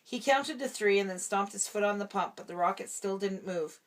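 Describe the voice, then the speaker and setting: A woman's voice: mid-range voice, normal speed. A woman reading a storybook aloud to a small child, with casual asides between the lines. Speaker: parent reading a storybook to a toddler.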